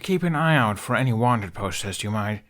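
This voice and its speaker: Man voicing a lowish-pitched, earnest young man. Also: animated, normal speed, a bit bright. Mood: earnest, dry. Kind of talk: audiobook character voice.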